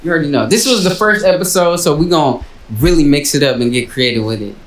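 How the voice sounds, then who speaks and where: A man's voice: on the high side, fast talker, reverberant. A man hosting a podcast in laid-back, slangy casual chat. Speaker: podcast host.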